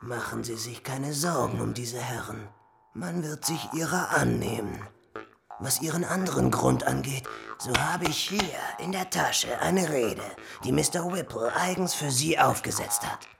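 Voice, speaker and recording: An actor playing a zealous fascist party envoy in a German radio drama, formal, official and insistent, with a gravelly voice. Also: male voice, mid-range voice, unhurried, music in the background. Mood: reassuring.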